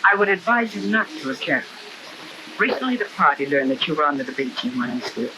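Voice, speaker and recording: Brisk, officious party functionary. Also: male voice, on the high side, sing-song, pushed and bright, rough recording. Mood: cold, officious. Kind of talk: 1960s propaganda film acting. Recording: hissy old film soundtrack.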